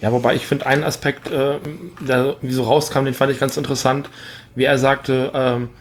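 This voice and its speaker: A man's voice: medium pitch, level tone, moderate pace. A man telling small anecdotes in casual conversation.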